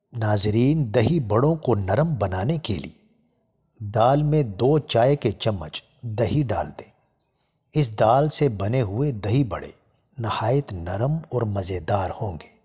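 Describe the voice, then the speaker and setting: Slow man narrating with long reverb. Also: medium pitch, darkish tone, husky. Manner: formal, addressing viewers. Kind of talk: scripted narration of household tips.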